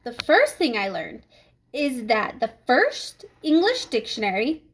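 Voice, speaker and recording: Woman acting nervous schoolboy, high voice. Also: wildly swooping pitch, unhurried, fairly bright tone, a little hiss or hum, exaggerated voice. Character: nervous schoolboy giving an oral report.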